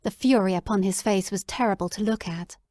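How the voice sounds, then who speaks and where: A woman narrating a classic story in a measured, descriptive way. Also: medium pitch, dark tone, dry recording, studio quality. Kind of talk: audiobook dramatic reading.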